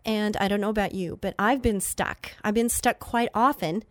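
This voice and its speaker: A woman's voice: mid-range voice, moderate pace, no echo. Nasal-sounding woman hosting faith radio. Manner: chatty, earnest, with many fillers and restarts. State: nasal from allergies. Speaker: radio show host.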